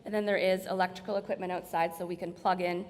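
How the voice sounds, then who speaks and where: A woman with a slightly hoarse voice gives an informal, explanatory slide presentation in a large room. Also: on the low side, level tone, quick pace, darkish tone. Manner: explanatory, conversational. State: slightly hoarse, losing her voice. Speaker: presenter from hospital staff. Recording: reverberant hall.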